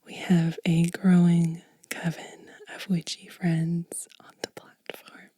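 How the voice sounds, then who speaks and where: A woman softly whispering as an ASMR host, gentle and unhurried, with a breathy voice close to the microphone. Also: fairly low pitch, monotone, no echo. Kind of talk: soft-spoken whispered ASMR show and tell.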